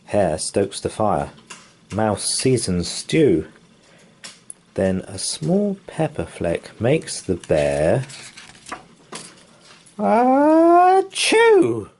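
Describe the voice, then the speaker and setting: A man reading a children's bedtime picture book aloud in a slow, sing-song storytelling manner. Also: lowish voice, wildly swooping pitch, darkish tone, a little hiss or hum. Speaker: story reader.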